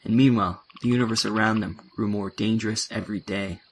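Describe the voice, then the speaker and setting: A man with a gravelly, husky voice reading scripted third-person narration for an audio drama. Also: fairly low pitch, steady tone, fast, poor audio.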